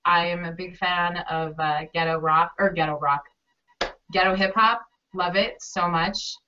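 A woman's voice: on the low side, fairly even intonation, a little slow. A young woman hosting a casual interview over a video call from her bedroom, bubbly and gushing. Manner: bubbly, gushing, lots of fillers. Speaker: interviewer host. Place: small bedroom, indoors. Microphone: video call webcam. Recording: distant, dull webcam audio.

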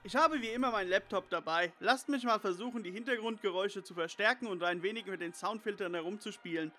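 High bright voice, eager nerdy boy sleuth acted by a man, gadget lover, radio play parody. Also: fast. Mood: eager, confident. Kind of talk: audio drama parody acting.

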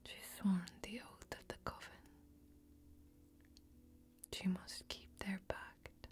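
A woman's voice: medium pitch, level tone, close to an everyday voice. Whispering fantasy tavern warrior played by a woman. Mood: hushed, mysterious. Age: young adult. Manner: hushed, slow, drawn-out storytelling. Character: cryptic fantasy tavern-keeping warrior woman, wry and hospitable. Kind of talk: soft-spoken ASMR fantasy role-play. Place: small indoor set, close up. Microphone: close microphone.

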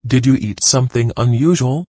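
A man reading short phrases for learners in a clear, measured way, one sentence at a time. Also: medium pitch, darkish tone, dry recording, studio quality. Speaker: phrase reader.

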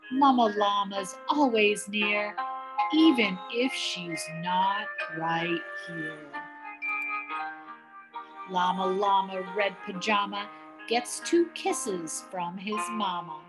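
A middle-aged to older woman reading a children's picture book aloud as a grandmother, expressively, with drawn-out emphasis on key words. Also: medium pitch, low-quality recording. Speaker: grandmother reading a bedtime story. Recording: music playing behind the voice. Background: music.

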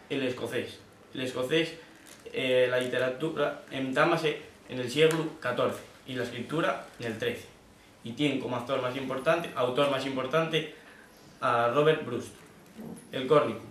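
A teenage boy giving a halting school presentation in a classroom, correcting himself as he goes. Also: mid-range voice, fairly bright tone, reverberant, far from the mic, slight background noise. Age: teens. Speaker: student presenter.

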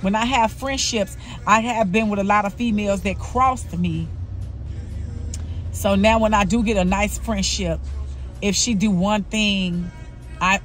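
Woman in her 40s, earnest personal vlogger, soft music under her voice. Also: mid-range voice. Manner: earnest, confessional, repeats herself. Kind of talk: candid personal vlog monologue.

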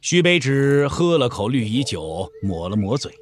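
A male narrator tells a story for an audio drama in a mid-range voice. His intonation moves widely and expressively, while the delivery stays measured and literary. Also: mid-range voice, sing-song.

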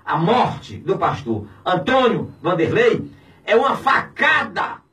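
A man in his fifties with a Brazilian accent, a pastor speaking out in an indignant, preaching tone on the radio, swinging between shouts and low, heavy words. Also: sing-song, takes it slowly, recorded from a distance. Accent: Brazilian Portuguese. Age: 50s. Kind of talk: impassioned radio commentary. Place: small radio studio. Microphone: studio mic on a boom, filmed from a distance.

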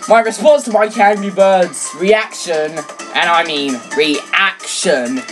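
A teenage girl rambling through casual let's play commentary, excitable and quick to apologize. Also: fairly low pitch. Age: teens. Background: game music.

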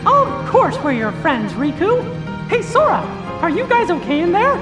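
A man voicing a small, kindly, chipper mouse leader in a squeaky falsetto for a cartoon-style video game. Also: huge pitch swings, moderate pace, full character voice, poor audio. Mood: reassuring. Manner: gentle, upbeat. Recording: game music underneath.

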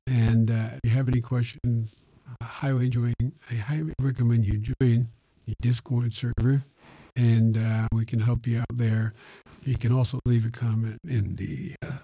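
Man as AI-cloned elderly politician, husky and dark. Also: mid-range voice, level tone, normal speed, no room sound. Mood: casual, pleased. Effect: real-time AI voice changer. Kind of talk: casual software demonstration.

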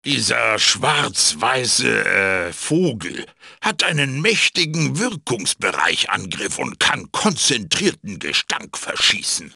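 A wise old-style magic mask spirit for a video game, male, raspy n super animated, wry theatrical delivery. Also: mid-range voice, slow, dry recording, clean studio sound, exaggerated voice. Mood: wry, joking. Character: wise, quirky talking wooden witch-doctor mask spirit, male. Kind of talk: theatrical video game creature guide narration.

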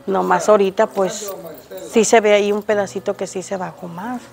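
An older woman with a Mexican Spanish accent, answering a reporter's questions outdoors on the street in front of her home. She speaks in a hesitant, halting way with frequent restarts. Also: mid-range voice, fairly even intonation, creaky, a crowd in the background. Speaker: interviewed resident. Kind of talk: street interview. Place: outdoors on a street by a house gate.

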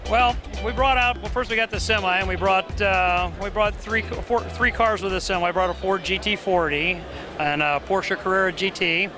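Middle-aged guy talking about his cars, kinda high voice, halting, loud music behind. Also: steady tone. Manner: plain, halting, adds details as he goes. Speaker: boat racer and car collector guest. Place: outdoor party at an airport hangar at night. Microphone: handheld mic held by the interviewer.

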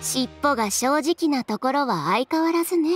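A young woman designer in a video game, self-assured and sharp-tongued. Her high voice moves animatedly through brisk, dryly teasing lines, with soft music underneath. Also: lively intonation. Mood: brisk concern. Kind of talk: video game voice acting in Japanese.

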